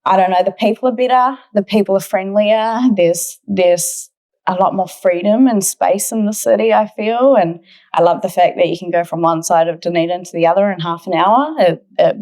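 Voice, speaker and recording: A woman's voice: medium pitch, moderate pace, a little mellow. A woman in her twenties with a New Zealand accent, telling her own story earnestly and enthusiastically as a podcast guest in a conversational way. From Auckland, New Zealand. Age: 20s.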